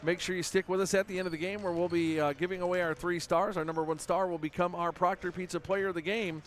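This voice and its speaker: A man hosting a local high school basketball broadcast, chatty and casual with many fillers. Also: fairly even intonation, fast, a little hiss or hum. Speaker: play-by-play broadcaster.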